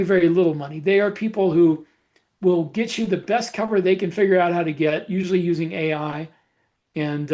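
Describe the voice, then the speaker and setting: A man's voice: high-pitched, darkish tone. A man co-hosting a podcast, explaining things casually in a blunt, opinionated way. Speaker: podcast co-host.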